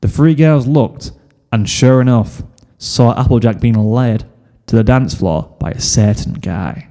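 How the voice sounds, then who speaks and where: A man's voice: medium pitch, unhurried, dark tone. A man reading a story aloud as a scripted narration, in the role of fan fiction narrator. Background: music.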